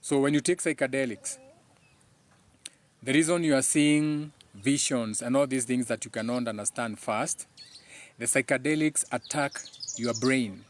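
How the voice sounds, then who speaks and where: Man, Kenyan accent, native Kikuyu speaker, mid-pitched voice, off-the-cuff talk host, emphatic, repeats key phrases. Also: measured pace, slight background noise. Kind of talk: informal live talk with audience questions.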